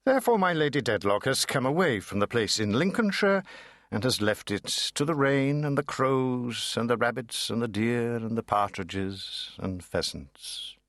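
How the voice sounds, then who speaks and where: A man's voice: mid-range voice, lively intonation, moderate pace, a bit bright, dry recording, studio quality. A man reading classic literature dramatically, theatrical and precise. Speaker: audiobook narrator. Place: dry studio.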